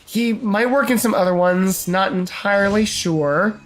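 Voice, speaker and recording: A man's voice: sits high, a little slow, rough recording. Casual male game streamer, musing aloud. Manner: musing, theorizing, hedging with fillers. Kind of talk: casual live gameplay chat.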